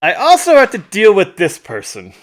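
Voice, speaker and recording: A man's voice: high-pitched, normal speed. Casual chuckling man telling stories. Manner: chuckles often, animated retelling with acted-out quotes. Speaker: storytelling show host. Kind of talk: casual humorous storytelling over photos. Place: live online stream.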